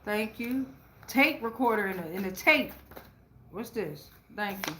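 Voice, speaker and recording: A woman's voice: medium pitch, lively intonation, measured pace, noisy background. A woman giving a casual, rambling tarot reading, blunt and profane, full of slang and checking in with "right?". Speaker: tarot reader and channeler.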